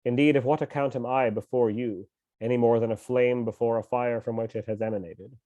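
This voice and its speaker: A man who is mildly ill with a cough reads a scripture translation aloud at home, in a measured, slow recitation with pauses. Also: mid-range voice, fairly even intonation, dry recording, muffled recording. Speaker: scripture reader and teacher.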